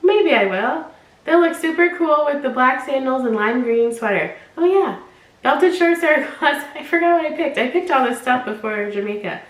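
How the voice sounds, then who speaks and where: A woman's voice: high-pitched, expressive, moderate pace, roomy sound. A young woman talking casually in her vlog, bubbly and rambling and laughing often, her nose stuffed up from allergies. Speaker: fashion unboxing vlogger.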